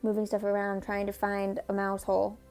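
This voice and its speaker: A woman reading a children's book aloud in a measured way, stopping now and then to talk about the pictures. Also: mid-range voice, monotone, darkish tone, soft background music. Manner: measured, pausing to comment on the pictures. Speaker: storybook reader.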